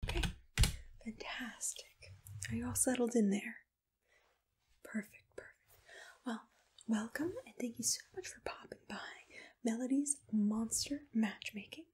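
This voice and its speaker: Woman doing asmr roleplay, super breathy whisper, soothing and attentive, kinda high voice. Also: measured pace, long pauses. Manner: soothing, attentive, softly reassuring.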